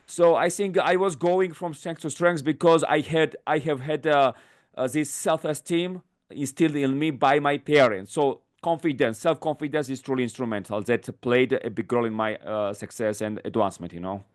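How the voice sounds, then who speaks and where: A man in his thirties from Georgia, a native Georgian speaker, answering as a podcast guest in English with many fillers and restarts. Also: medium pitch. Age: 30s. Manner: fluent but halting, many fillers and restarts. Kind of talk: podcast interview.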